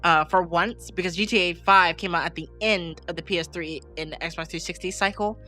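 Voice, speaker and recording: A woman with a bright, forward voice pitched a little low, chatting casually as a podcast co-host. She has a slight cold and talks in an emphatic, slangy way, with faint music behind her. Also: fairly even intonation, a little fast. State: slight head cold with sniffles. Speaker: podcast co-host and game streamer. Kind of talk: casual unscripted podcast chat.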